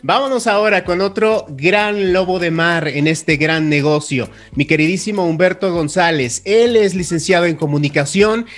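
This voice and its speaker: A man with a Mexican accent hosts a live radio talk and introduces his guests in a smooth presenter voice. His pitch sits a little high for a man. Light music plays under him. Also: normal speed, few pauses, fairly bright tone. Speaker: radio host. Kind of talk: radio show hosting.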